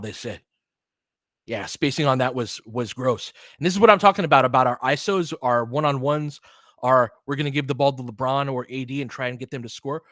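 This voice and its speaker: A man with a medium-pitched voice calls a basketball game live in a dry recording. His phrases are short and clipped, full of casual slang. He mixes play-by-play with analysis and reacts as a partisan fan. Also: a bit bright, no echo. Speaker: play-by-play commentator.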